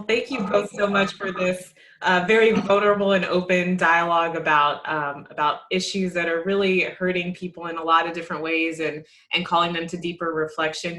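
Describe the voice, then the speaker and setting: A woman's voice: on the low side, a bit bright. A young adult woman interviewing two priests on a video call, asking open questions and thanking them. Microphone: video call webcam.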